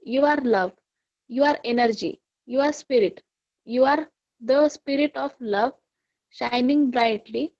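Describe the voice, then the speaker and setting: A woman reading a guided affirmation in a soft, soothing, deliberate way. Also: sits high, dull audio. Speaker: course reader.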